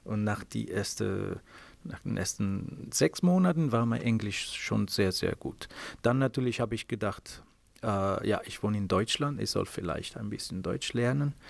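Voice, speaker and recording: A man's voice: on the low side, expressive, unhurried, gravelly, dry recording. A middle-aged Italian man with an Italian accent, answering interview questions in hesitant German full of filler sounds. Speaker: space mission software engineer. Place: office at a space operations centre.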